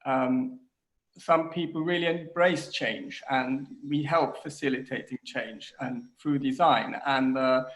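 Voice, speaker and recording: Man from Switzerland, English speaker, halting speech full of ums, home kitchen, video call. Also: medium pitch, poor audio. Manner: hesitant, many fillers and restarts. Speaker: designer, interview guest. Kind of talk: casual video-call interview.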